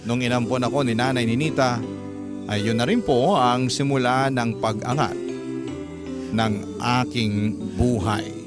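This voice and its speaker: A man of medium pitch reads an emotional first-person story as a radio drama narrator. His delivery is measured and earnest. Prominent music plays behind him throughout. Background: prominent background music.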